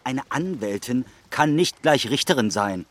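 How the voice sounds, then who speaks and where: A man's voice: mid-range voice, lively intonation, a little slow. A man playing an indignant, self-justifying politician and lawyer in an audio drama, speaking in an affronted, legalistic way. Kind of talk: dramatic acting. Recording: noisy outdoor vehicle-like background.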